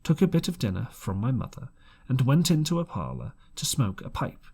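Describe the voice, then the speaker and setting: Husky man narrating with lively swings. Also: mid-range voice, a little fast. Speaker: audiobook reader. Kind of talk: expressive amateur storybook narration.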